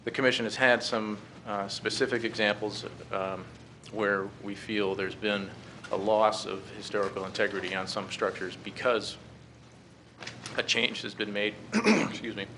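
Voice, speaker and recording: Flat, low-voiced American man briefing a council. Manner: halting, measured. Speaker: presenter to a city council. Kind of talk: formal public briefing. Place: council chamber, standing at a podium. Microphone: podium gooseneck microphone. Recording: clearly noisy meeting-room recording.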